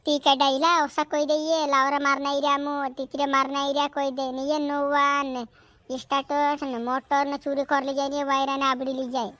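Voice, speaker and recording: A high, childlike-pitched cartoon villager acted out by a performer, chattering and fretting in a rural western Madhya Pradesh accent. The lines come in repetitive comic runs, recorded close and dry. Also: monotone, normal speed, bright, forceful tone, no echo. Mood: complaining. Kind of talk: cartoon comedy dialogue in rural dialect.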